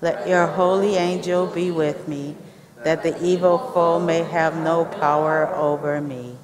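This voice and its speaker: A woman leading a chapel service, addressing the congregation with deliberate, measured phrasing. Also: sits low, steady tone, crowd noise. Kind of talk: addressing a congregation, welcome and prayer. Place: large indoor chapel with brick walls. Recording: echoey hall, picked up from the audience.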